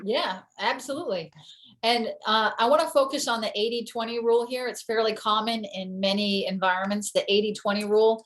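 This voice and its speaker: Woman teacher, slightly high voice, brisk and directive, hosting an online class. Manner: brisk and directive, managing the call. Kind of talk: casual teaching over video call.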